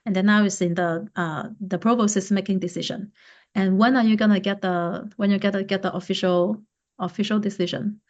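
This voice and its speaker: A woman speaks as the host of an interview podcast. Her pitch is average for a female voice and her phrasing is deliberate and a little halting. The sound is dull and muffled. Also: steady tone, a little mellow.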